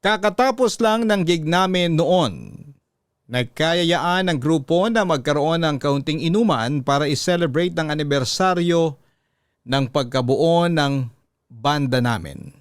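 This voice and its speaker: A man with a Filipino accent reading a listener's story as dramatic, measured radio narration. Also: a little high, animated, smooth, dry recording, clean studio sound.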